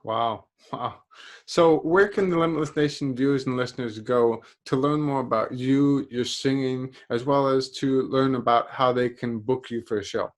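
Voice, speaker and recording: A man's voice: medium pitch, moderate pace. A man hosting an interview, asking long, winding questions. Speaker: interview host.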